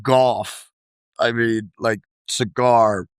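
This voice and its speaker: A man's voice: medium pitch, fairly even intonation, very slow, clean tone, dry recording, clean studio sound. A young man hosting a casual podcast conversation, talking in an enthusiastic, chatty way with lots of fillers. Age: young adult. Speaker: podcast host. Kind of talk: casual chat.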